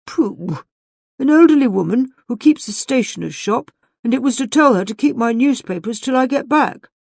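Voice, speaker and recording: A woman as an earnest, reserved young gentleman. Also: on the high side, animated, normal speed, creaky, no echo, clean studio sound, pitched above the performer's own voice. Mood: defensive. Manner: emphatic, formal speech.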